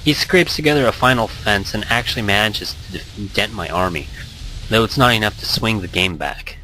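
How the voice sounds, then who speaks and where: Deep-voiced, bright, expressive man reading aloud. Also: normal speed, a little hiss or hum, low-quality recording. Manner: expressive, stumbles over words and rereads. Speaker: let's player reading a visual novel aloud. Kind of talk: dramatic read-aloud with casual asides. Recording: background music under the voice. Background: music.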